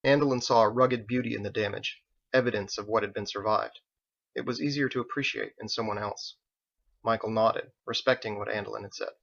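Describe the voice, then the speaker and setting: A man's voice: lowish voice, fairly even intonation, a little fast, gravelly, fairly bright tone. Man narrating fantasy, slightly dull sound. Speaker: author reading own audiobook. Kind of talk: expressive scripted narration of a fantasy novel.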